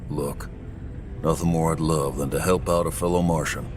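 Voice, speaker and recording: An actor playing a world-weary, wary Martian frontier bartender in a video game, plainspoken and colloquial. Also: male voice, very deep voice, steady tone, moderate pace, over music. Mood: weary, somber. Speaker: bar owner character. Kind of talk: video game cutscene acting.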